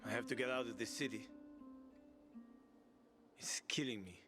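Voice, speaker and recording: A man's voice: medium pitch, moderate pace, close to an everyday voice. An actor playing a weary, friendly young man who talks plainly and a little crudely, over game music. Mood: earnest. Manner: friendly, coaxing, a bit crude. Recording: game soundtrack music behind.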